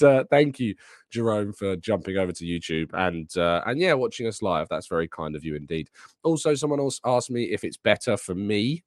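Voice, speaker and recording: A man with a raspy, hoarse voice from a sore throat, hosting a live show and casually answering chat questions. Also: low voice, dry recording, clean studio sound. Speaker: podcast host. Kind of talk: live transfer news and chat Q&A.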